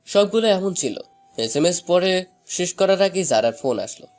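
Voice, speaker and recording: A young man narrating a scripted first-person love story in an expressive, dramatized way. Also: sits high, normal speed. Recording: music bed under the voice. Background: background music.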